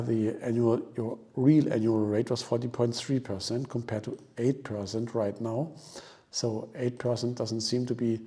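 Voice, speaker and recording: A man hosting an investing video, explaining informally and a little haltingly as he walks viewers through a screen. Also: mid-range voice, mellow. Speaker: host of a stock investing channel. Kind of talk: informal explanatory stock analysis commentary.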